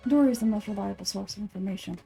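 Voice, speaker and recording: A woman voicing an aloof, calculating male scholar from a video game, speaking in a formal, measured, clinical way. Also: mid-range voice, vocal fry, dark tone, soft background music, close to an everyday voice. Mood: businesslike, composed. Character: aloof, scholarly young man, calculating and composed researcher. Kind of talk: game dialogue read aloud.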